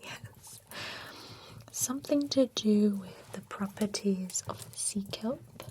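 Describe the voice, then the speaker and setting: A woman's voice: medium pitch, darkish tone, poor audio. A woman whispering in a chatty, playful way as a pampering spa stylist in an ASMR roleplay. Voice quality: mostly unvoiced whisper.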